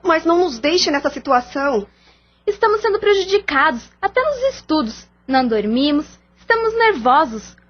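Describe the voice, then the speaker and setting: Scared, insistent Brazilian daughter played by a woman, very high voice, audio drama, muffled recording. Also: very animated, moderate pace. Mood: frightened, insistent. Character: frightened, insistent young daughter, a student. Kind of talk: audio-novel acting, formal scripted dialogue.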